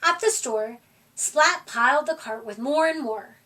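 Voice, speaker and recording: A woman reading a children's picture book aloud at a library storytime, with gentle, expressive delivery. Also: high-pitched, unhurried. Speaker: storytime reader. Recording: voice from far off the mic.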